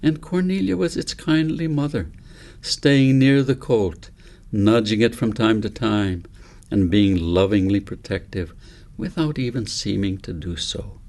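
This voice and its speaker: Low, creaky-voiced man reading a story. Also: a little slow, a little mellow. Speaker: author reading own book aloud. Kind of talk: audiobook narration of a children's story.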